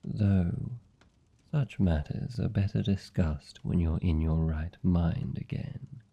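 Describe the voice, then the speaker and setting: A man's voice: sits low, creaky, warm tone, breathy edge, dull audio. A British man playing a suave, seductive vampire lord in a fantasy ASMR roleplay, speaking formally and teasingly with commanding, predatory politeness. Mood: pleased, intrigued. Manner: teasing, formal, silky and deliberate. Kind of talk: fantasy ASMR roleplay, slow intimate monologue.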